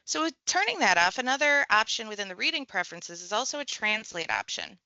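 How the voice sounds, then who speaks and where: A woman with a bright, forceful voice talks a viewer through a software tutorial one click at a time. Her delivery is casual and conversational. The recording is dry and a little dull. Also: a little high, big pitch swings, fairly quick, vocal fry, no room sound. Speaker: tech trainer. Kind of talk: screen-share software tutorial.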